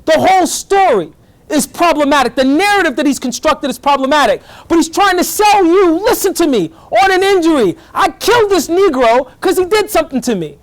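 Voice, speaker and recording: High-voiced animated male professor. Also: no echo. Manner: animated, fast bursts, keeps checking in with the class. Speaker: guest lecturer, professor. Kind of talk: lively classroom lecture. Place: college classroom.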